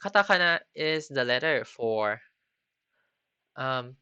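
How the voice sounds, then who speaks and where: A man teaching a beginner Japanese class on a live stream, halting often with ums and restarts. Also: mid-range voice, animated, bright, forceful tone, clear, resonant tone, dry recording. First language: not Japanese. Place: home computer setup. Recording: slightly dull lo-fi stream audio.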